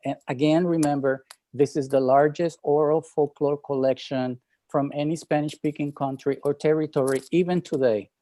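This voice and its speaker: A man from Rico, an author and literary critic who answers questions at a panel in a deliberate, measured way. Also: fairly high pitch, steady tone, mellow. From Puerto Rico.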